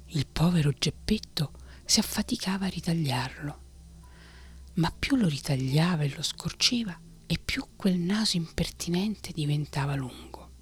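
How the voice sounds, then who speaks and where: A woman reading a children's story aloud in a soft, unhurried whisper. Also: low voice, expressive, husky.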